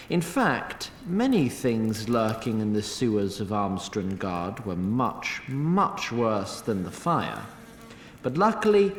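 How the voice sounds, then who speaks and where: A man narrating a fantasy audio drama in a droll, wry, bookish manner. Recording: roomy echo, faint rumbling noise.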